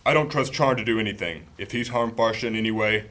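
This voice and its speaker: An actor playing a stubborn, impatient and suspicious warrior in a fantasy audio drama, blunt and brusque in delivery. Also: male voice, fairly low pitch, fast, fairly bright tone, natural voice.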